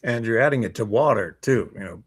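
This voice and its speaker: A man with a fairly low voice talks casually as a morning show co-host. He is agreeable, and his sentences come out loose and halting. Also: lively intonation, slightly rough.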